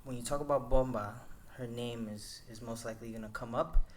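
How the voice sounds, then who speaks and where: A man's voice: mid-range voice, steady tone, a little fast, slight background noise. A man hosting a casual podcast, talking loosely with frequent restarts. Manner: loose, rambling, many restarts. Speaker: podcast host.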